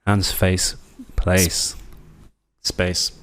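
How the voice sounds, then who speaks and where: A man co-hosting a casual podcast chat, throwing in dry, deadpan quips. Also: low-pitched, very slow, clean tone. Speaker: podcast co-host.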